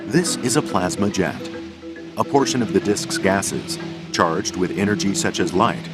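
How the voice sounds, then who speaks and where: A man narrating a scripted science documentary in a measured, explanatory way. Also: deep voice, expressive, over music.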